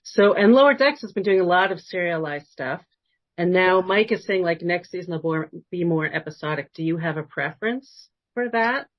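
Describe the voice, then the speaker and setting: A woman's voice: fairly low pitch, lively intonation, moderate pace, dull audio. A woman hosting a podcast interview in a casual, conversational style.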